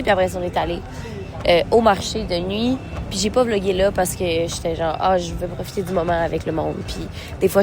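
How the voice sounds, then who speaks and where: A young woman with a Québécois French accent, chatting casually to camera as a travel vlogger, gushing and mixing English words into her talk. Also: fairly low pitch. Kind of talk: casual vlog chat to camera. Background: background music.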